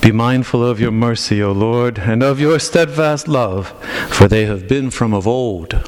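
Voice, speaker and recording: A retired pastor in his sixties, guest preaching, relaxed and self-deprecating with easy humor. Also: medium pitch, unhurried, noisy background. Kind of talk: casual greeting then leading worship. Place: church sanctuary. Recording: long reverb in a church hall.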